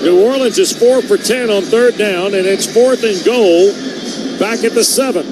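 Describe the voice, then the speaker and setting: A man's voice: high voice, a little slow. An American man calling live football play-by-play for radio from a stadium. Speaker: play-by-play sports announcer. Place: stadium broadcast booth. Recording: loud stadium noise behind the voice. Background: loud crowd and stadium ambience.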